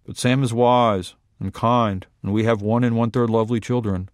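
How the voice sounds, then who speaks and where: A man reading the lines of a gentle, kind married shopkeeper woman, softly and politely. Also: medium pitch, steady tone, moderate pace, no echo, studio quality, lightly acted. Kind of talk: literary reading.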